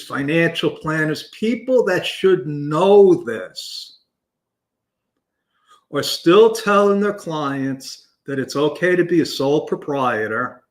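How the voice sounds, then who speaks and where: A man hosting a business show, talking loudly and passionately with emphatic restarts. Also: a little high, echoey room. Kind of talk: unscripted talk to camera.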